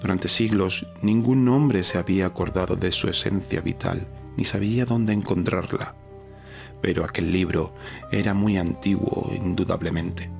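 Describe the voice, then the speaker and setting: A man narrating a gothic horror story as a dramatic audiobook, with a solemn, measured delivery. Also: low-pitched, creaky. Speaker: podcast narrator reading a horror short story. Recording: narration over a music bed. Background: background music.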